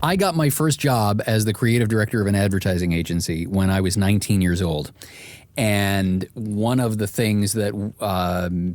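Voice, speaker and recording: Male podcast host, lowish voice, plain-spoken, deliberate emphasis, close dry recording. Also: a little mellow, no echo. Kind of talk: conversational podcast talk.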